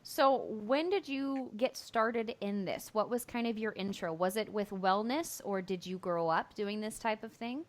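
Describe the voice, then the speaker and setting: A woman's voice: mid-range voice, a little slow, few pauses. Enthusiastic woman hosting a podcast. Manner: enthusiastic, affirming interviewer. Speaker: podcast host. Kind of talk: casual interview conversation.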